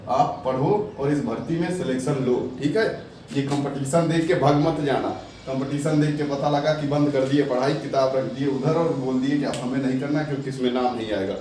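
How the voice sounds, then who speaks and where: A man's voice: fairly high pitch, darkish tone. A man giving exam aspirants an earnest update on applications and competition, coaxing them with rhetorical questions. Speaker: exam coaching presenter. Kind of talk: motivational exam update talk. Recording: echoey room from a distant mic with faint noise.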